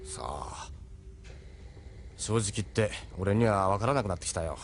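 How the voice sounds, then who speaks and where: A man's voice: on the low side, expressive, unhurried, slight background noise. A man voicing a seasoned, middle-aged ship captain in an audio drama, persuasive, frank and worldly. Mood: confiding. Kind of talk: Japanese audio drama acting.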